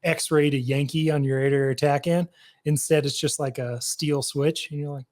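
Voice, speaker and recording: A man's voice: medium pitch, little pitch movement, moderate pace. A man co-hosting a podcast, speaking hesitantly with lots of fillers. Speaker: podcast co-host. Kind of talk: casual podcast chat.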